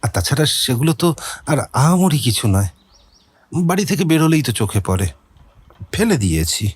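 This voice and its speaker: An actor playing a nervous, talkative gentleman in an audio drama, hesitant and rambling. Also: on the high side, big pitch swings, moderate pace, slightly rough, no room sound. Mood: chatty, explaining. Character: nervous, talkative middle-class Bengali gentleman with a mystery.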